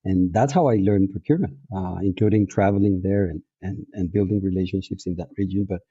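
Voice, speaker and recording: Man in his 40s, Colombian accent, husky, deep mellow tone, muffled lo-fi sound. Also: brisk, no room sound. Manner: reflective, frequent restarts and fillers, laughs easily. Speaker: guest. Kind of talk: casual interview chat. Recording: dull, muffled audio.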